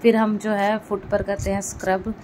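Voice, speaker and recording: A woman's voice: medium pitch, level tone, moderate pace, noisy background. A woman giving a casual step-by-step vlog voice-over, explaining and rephrasing her points as she goes. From India. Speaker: vlogger.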